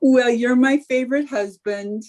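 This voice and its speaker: A woman playing a scheming, excitable young society housewife in a theatrical radio sitcom style. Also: fairly high pitch, a little slow, close to an everyday voice. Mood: sweetly wheedling. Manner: coaxing, theatrical. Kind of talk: old-time radio sitcom acting.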